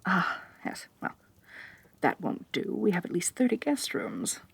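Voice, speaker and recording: A woman's voice: mid-range voice, big pitch swings, measured pace, gravelly, breathy edge, no room sound, full character voice. Haughty lamia mistress, played by a woman, close and dry. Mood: distracted, exacting. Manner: haughty, domineering, talks down to the listener. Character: haughty rich female lamia, bossy mistress, slightly tsundere. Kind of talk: roleplay ASMR acting.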